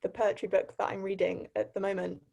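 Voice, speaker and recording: A young woman being interviewed, casual and hesitant, laughing often and pausing on "um". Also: medium pitch, monotone, creaky, mellow. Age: young adult. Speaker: interview guest. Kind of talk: casual interview over a video call.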